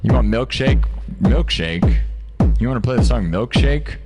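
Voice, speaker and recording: A man's voice: medium pitch, animated, measured pace, creaky. A man from Des Moines, Iowa, hosting a live music stream, chatting casually and rambling as he talks to viewers. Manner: upbeat and rambling, keeps asking viewers "right?". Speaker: livestream host and live-looping musician. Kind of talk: casual livestream chat to viewers. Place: home music studio, indoors.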